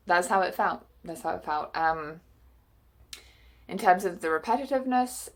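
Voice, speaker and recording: A young woman, chatting frankly to camera in a vlog with lots of fillers, her voice a bit croaky from being unwell. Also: lowish voice, lively intonation, moderate pace. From New Zealand. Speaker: book vlogger. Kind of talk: casual chat to camera.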